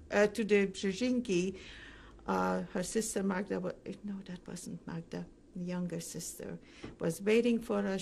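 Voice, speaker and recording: An older woman gives recorded testimony, recalling the past in an interview. Her speech is slow and halting, broken by pauses, and her voice has a gravelly creak. There is faint noise behind her. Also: lowish voice, darkish tone. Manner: halting, pausing often mid-sentence. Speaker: Holocaust survivor giving testimony. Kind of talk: recorded oral history interview, recounting memories.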